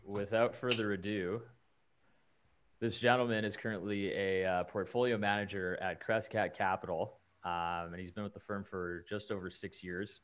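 A man's voice: fairly low pitch, steady tone, moderate pace, smooth. A man hosting a podcast, talking casually and rambling with fillers. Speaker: podcast host.

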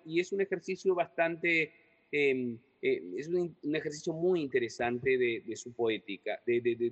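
A man, answering questions in a conversational way. His voice sits a little high, and he stumbles and repeats words as he thinks aloud. The recording is dull, with a lingering room reverb. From Uruguay. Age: 40s. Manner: rambling and hesitant, with many repeated words. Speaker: writer and guest. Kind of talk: conversational interview.

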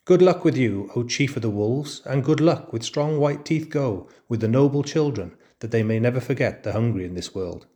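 A man's voice: medium pitch, close to an everyday voice. A man doing a sly, fawning jackal. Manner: wheedling, drawn-out flattery. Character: fawning, spiteful male jackal, small and sly. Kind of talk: storybook reading.

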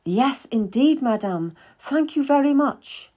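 A woman's voice: high-pitched, expressive, takes it slowly, warm tone, voice pushed up. A woman voicing a polite, earnest young English boy who eagerly asks for work.

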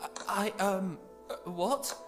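A woman's voice: medium pitch, slow pace, over music, lightly acted. A woman playing a mischievous, dreamy young prince with a cheeky, defiant streak in a fantasy audio drama. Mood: nervous.

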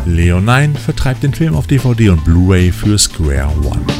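A man's voice: sits low, sing-song, a little slow, over loud music. A man reading playful, scripted film release news as the host of a weekly show. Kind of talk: scripted upbeat review narration.

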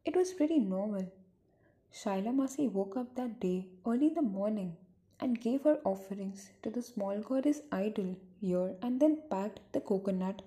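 A woman's voice: medium pitch, warm tone, lightly acted. A woman playing a worried, earnest niece burdened by a sad secret in an amateur radio drama. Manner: earnest, grave.

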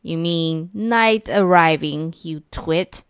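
A woman voicing grumpy male monsters who shout insults through a mountain. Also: deep voice, slow, clean tone, dry recording, clean studio sound. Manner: shouting name-calling.

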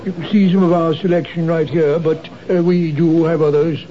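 Guy playing a polite shop clerk, smooth salesman talk, mellow voice a bit high, lo-fi old radio. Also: fairly even intonation, normal speed, close to an everyday voice. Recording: dull lo-fi 1970s radio drama.

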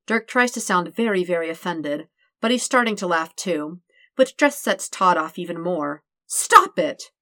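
A woman's voice: on the low side, expressive, normal speed, fairly bright tone, no echo, clean studio sound. A woman reading a fictional story aloud as a scripted narration. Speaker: podfic reader.

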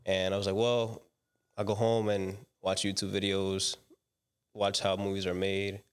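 A young man from the Dominican Republic talks as a guest in a casual interview. His voice sits low and stays fairly flat. He tells his story in a loose, slangy way, full of fillers. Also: a little slow, smooth. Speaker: guest, commercial director.

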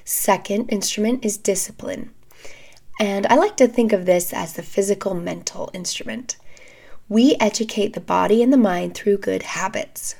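A woman in her thirties with a scratchy, hoarse voice, calmly hosting an educational podcast while recovering from an illness. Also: medium pitch. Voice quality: scratchy, gravelly voice. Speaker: podcast host. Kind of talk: scripted educational podcast talk.

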